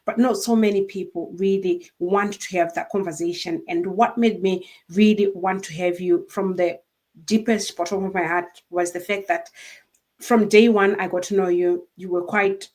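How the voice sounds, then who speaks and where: A woman's voice: on the low side, fairly even intonation, moderate pace, a little mellow. A woman hosting an interview, speaking in an earnest, wordy, conversational way. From Africa. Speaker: interview host.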